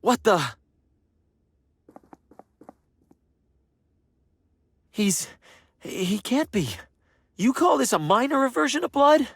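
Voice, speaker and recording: Lively, wry salesman. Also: extremely high pitch, measured pace, vocal fry, slightly rough, no room sound, clean studio sound, full character voice. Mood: frightened, shaken. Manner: wry asides, questioning. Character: bemused, jittery male traveling book salesman, wry. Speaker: player character in adventure game. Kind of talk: video game voice acting.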